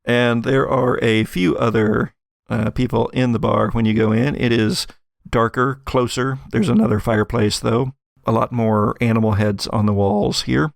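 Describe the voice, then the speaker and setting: A man narrating a tabletop roleplaying game as game master in a halting, conversational, chuckle-prone way. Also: medium pitch, fairly even intonation, vocal fry, dry recording, studio quality.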